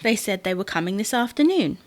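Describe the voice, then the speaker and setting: A woman's voice: mid-range voice, lively intonation, brisk, close to an everyday voice. A woman playing a stubborn, exasperated wife in a domestic comedy audio drama, indignant and matter-of-fact. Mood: puzzled, uneasy.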